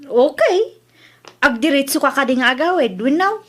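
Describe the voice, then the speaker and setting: Grumbling village mother female performer, Ilocano accent, high voice, reluctant temper, homely comedy-drama. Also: animated, moderate pace. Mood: casual.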